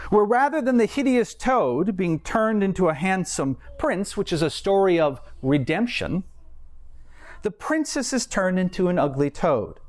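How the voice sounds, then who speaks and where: High-pitched, animated man preaching. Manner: erudite, formal wording with wry asides. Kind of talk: scripted sermon, read like an essay.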